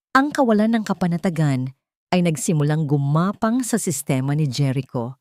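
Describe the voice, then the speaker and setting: A woman's voice: low voice, mellow, no echo, clean studio sound. A woman narrating a scripted dramatic story as its storyteller.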